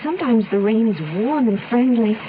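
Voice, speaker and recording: A woman's voice: fairly high pitch, moderate pace, close to an everyday voice. A woman acting the part of an uneasy, imaginative granddaughter in a suspense radio play. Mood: gloomy unease. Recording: muffled vintage radio, heavy background noise.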